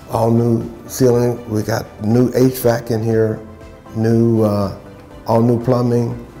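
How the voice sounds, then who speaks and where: An older man, a building contractor, talking plainly and haltingly about a renovation, on a lapel mic. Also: fairly low pitch, mellow. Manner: plain-spoken, halting, many fillers. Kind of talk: documentary interview. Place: renovated house, indoors.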